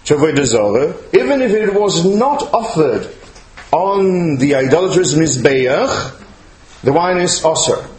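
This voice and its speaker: A man lecturing as a rabbi, teaching religious law in an emphatic, questioning way to listeners in the room. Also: high voice. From outside Chile, now living there. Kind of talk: religious lecture to a live audience. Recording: echoey room, faint noise, clicks.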